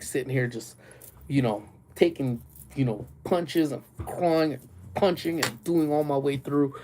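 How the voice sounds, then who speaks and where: A man hosting a casual livestream, chatting loosely with viewers, full of fillers and quick laughs, still a little congested and nasal. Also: medium pitch, dark tone. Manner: rambling, loose talk with many fillers and frequent laughs. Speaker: livestream host.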